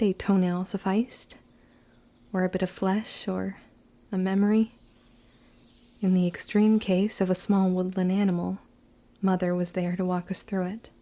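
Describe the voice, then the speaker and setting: Audio drama lead: stubborn, brooding young witch, played by a woman, mellow tone, contained pitch, period wording. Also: close to an everyday voice. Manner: measured, formal old-fashioned phrasing. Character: guarded, stubborn, brooding young witch narrating her own story. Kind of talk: scripted first-person audio drama narration.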